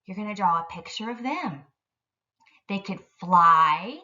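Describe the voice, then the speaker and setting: A woman's voice: mid-range voice, animated, measured pace, pushed and bright, distant mic, dull audio. A woman elementary school teacher giving an instructional lesson to young children in careful, simple phrasing.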